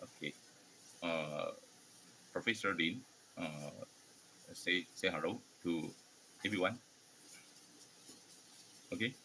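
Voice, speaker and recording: Low-voiced Taiwanese man hosting, halting English. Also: sharp tone, slight background noise, poor audio. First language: Mandarin Chinese. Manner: halting, hesitant non-native English delivery. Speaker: host of an online academic forum. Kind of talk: formal welcome speech.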